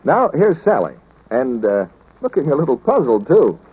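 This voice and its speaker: A man's voice: mid-range voice, very animated, normal speed, mellow, slightly rough, poor audio. A male radio announcer delivering scripted 1940s announcements and sales patter. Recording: old 1940s radio broadcast.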